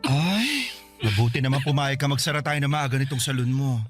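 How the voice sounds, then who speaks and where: A man's voice: medium pitch, expressive, fairly quick, close to an everyday voice. An actor in a Filipino radio drama, a man playing a blunt, suspicious friend with a scolding, exclamatory manner. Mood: chatty, curious. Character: blunt, nagging, suspicious friend of a salon owner. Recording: music bed under the dialogue.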